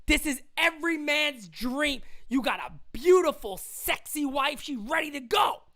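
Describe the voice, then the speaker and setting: Man, live-stream host, excitable, yelling bursts, strained voice. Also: very high voice, unhurried. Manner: excitable, swings from muttering to yelling. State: straining his voice. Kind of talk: casual live-stream commentary.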